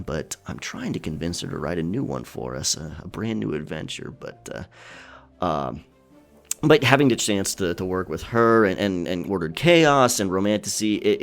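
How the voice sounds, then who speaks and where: A man playing a friendly, caring pirate captain in a soft, chatty, encouraging ASMR check-in. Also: low voice. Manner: encouraging, chatty, hesitant, chuckling often. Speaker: ASMR storyteller and streamer.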